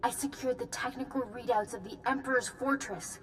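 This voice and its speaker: A woman's voice: on the high side, fairly even intonation, fairly quick, soft background music, close to an everyday voice. A woman playing an earnest, dutiful ally in a sci-fi video game, giving formal, precise briefings. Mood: triumphant. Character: earnest, dutiful female Jedi ally, calm planner. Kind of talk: video game cutscene acting. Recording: long reverb, faint background noise.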